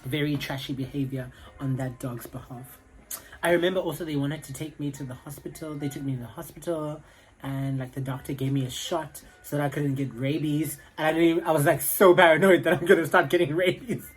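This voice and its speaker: A man's voice: fairly high pitch, fairly even intonation, fairly quick, low-quality recording. A young man with a South African accent, chatting casually to camera in a rambling way full of fillers. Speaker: vlogger.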